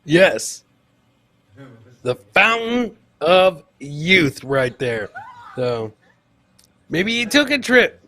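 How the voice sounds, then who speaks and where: A man's voice: high-pitched, very animated, drawn-out words, pauses often, sharp tone. A man hosting a live comedy clip show, joking and teasing as he comments on videos and repeats his punchlines.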